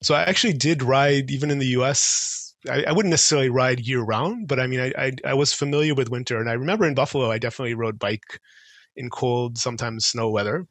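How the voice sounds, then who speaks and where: Relaxed middle-aged man on headset. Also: mid-range voice, quick pace, dry recording. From Israel. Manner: relaxed, thoughtful, many fillers and restarts. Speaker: guest, transportation consultant. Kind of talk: casual podcast chat. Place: home office with bookshelves. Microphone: headset mic on video call.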